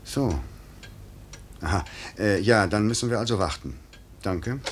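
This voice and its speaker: An actor playing a stern, anguished middle-aged airline director in a 1950s German radio drama, curt and brooding. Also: male voice, unhurried, lightly acted. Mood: curt, impatient. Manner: curt, commanding, brooding, sinking into breathy murmurs. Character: stern, anguished male airline director, guilt-ridden boss. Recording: hissy, rumbling 1950s radio recording.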